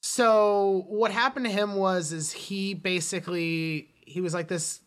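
A man's voice: high-pitched, steady tone, unhurried, clear, resonant tone. A man co-hosting a film podcast, chatting casually and telling stories with joking exaggeration. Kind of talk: casual movie chat.

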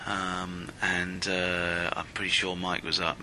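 A deep man talks into a handheld recorder with a bright, forceful tone, pausing often on fillers as he records a casual audio diary. His voice stays low and flat. A faint rumble runs under it. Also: rough recording. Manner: hesitant, many fillers. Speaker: podcast host. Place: apartment, early morning.

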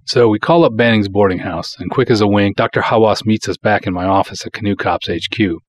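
A man's voice: on the low side, a little fast, close to an everyday voice. A man narrating a pulp adventure in the first person as an earnest, smitten small-town cop hero.

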